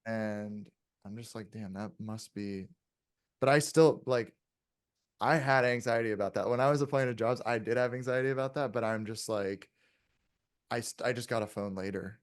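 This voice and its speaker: Young guy w a lowish voice on a podcast, thinking out loud, swears a bit, super dry mic. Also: moderate pace, no echo. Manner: hedging, reflective, frequent swearing. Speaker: podcast guest. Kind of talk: casual podcast conversation.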